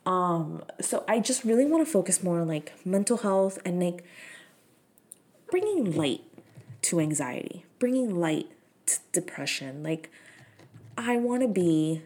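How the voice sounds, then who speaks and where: A woman's voice: fairly low pitch, very animated, a little slow, a little mellow. A woman, hosting her own podcast in frank, profane, filler-heavy casual chat. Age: 30s. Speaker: podcast host.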